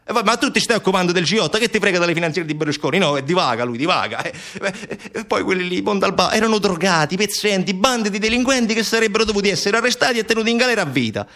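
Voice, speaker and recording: A man's voice: high-pitched, expressive, racing, few pauses, bright, forceful tone, exaggerated voice. An actor playing a sarcastic, indignant man in a theatrical monologue, arguing colloquially with an unseen friend through rhetorical questions. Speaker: stage actor.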